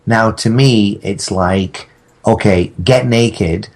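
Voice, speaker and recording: Low, slightly husky man telling anecdotes. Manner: halting, rambling storyteller. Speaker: podcast guest. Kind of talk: casual anecdotal chat.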